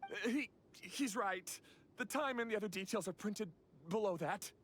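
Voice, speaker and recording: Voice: medium pitch, moderate pace, very noisy, lightly acted. An actor playing a flustered, hesitant bandit lackey in a video game, eager to explain everything. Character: nervous, eager-to-talk bandit lackey pleading for mercy.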